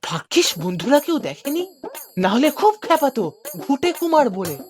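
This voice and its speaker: Eager cartoon schoolboy. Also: female voice, high-pitched, very animated, moderate pace, darkish tone, soft background music. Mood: excited. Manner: eager, childlike, exclaiming. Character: cheeky young boy grandson. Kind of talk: Bengali cartoon voice acting.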